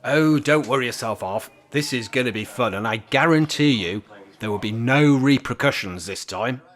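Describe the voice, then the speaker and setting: A man's voice: mid-range voice, moderate pace, fairly bright tone, soft background music, lightly acted. An amateur actor playing a mischievous, cocky older man in a radio play, with a wry, teasing manner. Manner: wry, teasing, feigned innocence. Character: mischievous, cocky older male care-home resident in a wheelchair.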